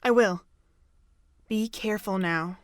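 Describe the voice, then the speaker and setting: A woman's voice: medium pitch, slow, dry recording, studio quality, lightly acted. A woman playing an anxious, caring and earnest young friend in a fan audio drama. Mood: worried.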